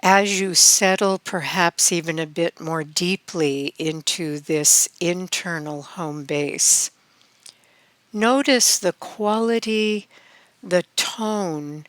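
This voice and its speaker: Female meditation guide: calm, extremely unhurried delivery with long pauses, smooth clear tone, close and dry sound. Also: fairly low pitch, dry recording. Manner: calm, measured, with long pauses between phrases.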